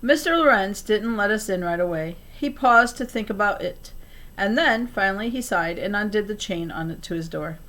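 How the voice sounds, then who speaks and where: A woman reading a children's novel aloud in an expressive storytelling style. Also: medium pitch, moderate pace.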